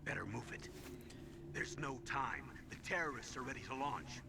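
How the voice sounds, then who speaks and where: Military colonel, video game, urgent, firm, authoritative, medium pitch, heavy background noise. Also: male voice, animated, moderate pace, vocal fry.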